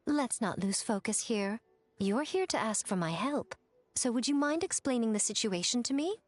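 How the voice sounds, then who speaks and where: A woman's voice: on the high side, animated, moderate pace, no room sound, soft background music. A woman voicing a sly, teasing, elegant shrine lady in a video game, playful and poised in her delivery. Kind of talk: animated video game voice acting.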